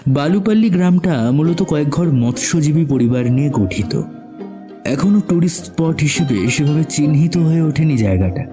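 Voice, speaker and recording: A man narrating a scripted suspense story as the narrator of an audio drama. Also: on the high side.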